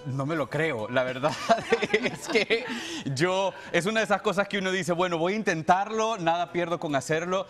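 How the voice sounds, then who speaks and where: A man's voice: sits high, moderate pace, fairly bright tone. A man in his thirties from El Salvador, a television presenter giving a heartfelt, unscripted farewell in an earnest, grateful way. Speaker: TV presenter saying farewell to the show. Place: television studio. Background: music bed.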